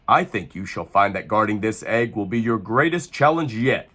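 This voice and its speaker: A man's voice: fairly low pitch, expressive, moderate pace, pushed and bright. A man voicing a cheerful, bossy young penguin king in a storybook, making grand, playful royal proclamations. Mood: regal.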